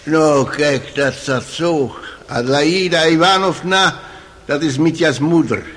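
An actor playing a dignified, stubborn old house servant in a radio drama, plain-spoken, folksy and respectful. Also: male voice, fairly high pitch, slow, a bit bright. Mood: calm, devoted. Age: older.

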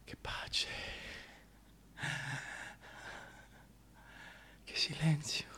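A man's voice: a little slow, whispered. An actor playing a vain, self-pitying tyrant king in a theatrical, declamatory radio drama monologue, volatile and contemptuous. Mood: hushed, dreamy. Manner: declamatory, volatile, contemptuous, swinging from hushed to shrill.

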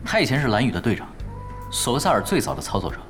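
Sly, very animated young man. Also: medium pitch, racing, faint music behind. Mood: explanatory. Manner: unruffled, teasing, wry. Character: laid-back, sly young man, veteran gamer. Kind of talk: audio drama acting.

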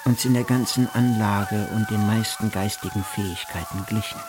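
An actor playing a brooding nineteenth-century naturalist recounting his voyage in measured, declamatory phrases for a radio drama. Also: fairly low pitch, steady tone, music in the background, lightly acted. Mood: lecturing. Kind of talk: literary radio drama monologue over music.

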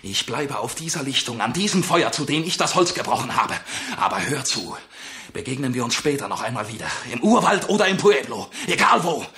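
An actor playing a companionable, mournful feather hunter in a dramatic, emotive German radio play. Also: male voice, medium pitch, sing-song, fairly quick, pushed and bright. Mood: agitated, defiant. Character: Narciso, male jungle feather hunter, companionable, mournful, hurt.

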